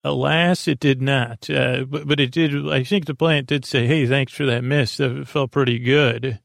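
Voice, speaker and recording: Male sleep-podcast storyteller, mid-pitched with heavy fry and a dry sound; rambling, halting, mildly absurd, self-deprecating. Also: steady tone, fairly bright tone, no room sound. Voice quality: creaky. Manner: halting, digressive, full of false starts and trailing tangents. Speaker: podcast host.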